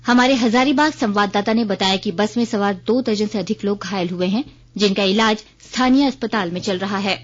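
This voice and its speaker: A woman's voice: mid-range voice, brisk. A woman reads a formal scripted regional news bulletin on the radio as the newsreader. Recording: dull lo-fi radio broadcast.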